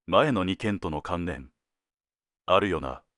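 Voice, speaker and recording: Senior male detective, played by an actor: deep, animated pitch, laconic dry humor, crime drama, close dry sound. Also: no echo, exaggerated voice. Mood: wry, businesslike. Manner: laconic, dry joking, deliberate phrasing. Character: senior male detective, wry, teasing, world-weary. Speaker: police detective at headquarters. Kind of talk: scripted detective audio drama.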